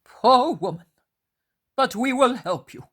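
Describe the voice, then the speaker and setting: A Judean man who pretends to pity and offers help, in a slow storybook reading. A woman reads him. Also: a little high, expressive, a bit bright, husky, voice pushed up, exaggerated voice. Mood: feigned. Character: falsely pitying male Judean.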